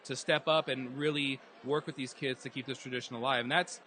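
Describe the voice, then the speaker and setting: A man's voice: medium pitch, fairly even intonation, brisk, sharp tone, a little hiss or hum. A man earnestly telling his story as a guest in a casual interview at a live event hall. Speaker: guest, dance group organizer.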